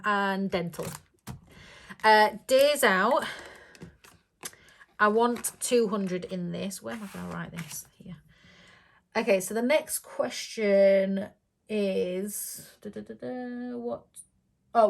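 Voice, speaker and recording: A woman talking casually to her viewers in a slightly croaky voice. She rambles along with plenty of ums and short giggles, in a pitch that sits in the middle for a woman. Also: fairly bright tone. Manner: rambling and chatty, with many ums and frequent giggles. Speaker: budgeting vlogger. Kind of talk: casual chat to camera.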